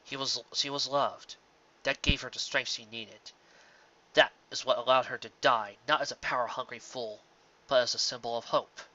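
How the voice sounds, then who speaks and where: A man voicing a gentle, sad pony father in a storybook reading, speaking in a hushed, tender, earnest way. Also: mid-range voice, fairly even intonation, moderate pace, highs cut off, lightly acted.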